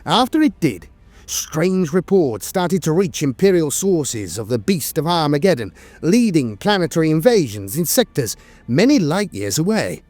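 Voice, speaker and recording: A man's voice: fairly high pitch, very animated, moderate pace, a little mellow, dry recording. A man narrating scripted lore in a dramatic, wry storytelling manner.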